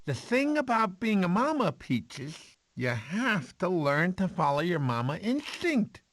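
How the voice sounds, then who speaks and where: A man voicing a chatty, dramatic cartoon prehistoric animal who tells stories to a child in a theatrical, expressive way. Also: sits high, a little slow, exaggerated voice. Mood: gentle advising. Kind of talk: animated film voice clips.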